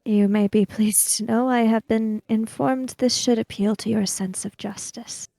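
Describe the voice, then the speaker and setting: Woman playing a slow, reserved samurai emissary. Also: a little high, steady tone, creaky, dark tone, dry recording. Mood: reserved, measured. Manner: formal, deliberate, precise. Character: reserved, formal samurai emissary, measured and guarded. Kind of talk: in-character tabletop roleplay.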